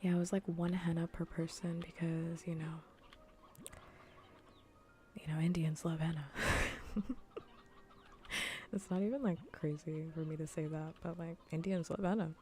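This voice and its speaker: Casting: female ASMR stream host, whispery and low, even intonation, dryly funny casual rambling, noticeable background noise. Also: a little slow, pauses often. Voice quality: soft, whispery, breathy. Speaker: livestream host.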